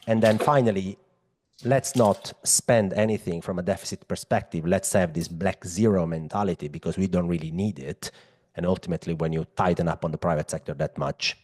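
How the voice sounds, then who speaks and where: A man's voice: low-pitched, a little mellow. A man with an Italian accent giving an explanatory finance presentation, conversational and emphatic, addressing listeners directly. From Europe. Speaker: macro analyst presenting.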